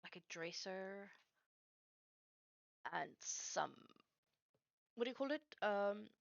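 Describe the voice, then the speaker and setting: A woman whose first language is Afrikaans chats casually as she works on a livestream, haltingly searching for words. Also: medium pitch, animated, gravelly, dry recording, highs cut off. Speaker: streamer building game maps live. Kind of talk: casual chat while working.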